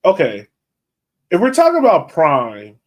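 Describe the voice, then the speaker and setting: A man hosting a casual sports podcast, talking informally and thinking out loud as he goes. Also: fairly high pitch, huge pitch swings, measured pace. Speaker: podcast host.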